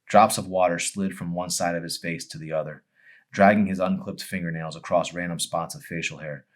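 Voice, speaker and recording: A man with a low voice presents and reads his own fiction in an audiobook manner. His phrasing is deliberate and dramatic. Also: steady tone. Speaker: author reading own novel. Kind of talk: audiobook-style narration with casual introduction.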